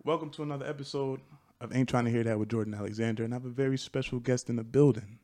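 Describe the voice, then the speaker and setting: A man's voice: mid-range voice, fairly even intonation, very fast, dark tone. A man from Buffalo, New York, hosting a casual interview show, laid-back and full of "you know". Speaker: podcast host.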